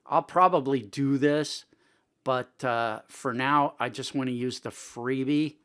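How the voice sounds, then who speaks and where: A man's voice: mid-range voice, measured pace, fairly bright tone, clean tone. A man presenting a casual tutorial in a conversational way, thinking aloud as he goes. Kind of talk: casual screen-recording tutorial talk.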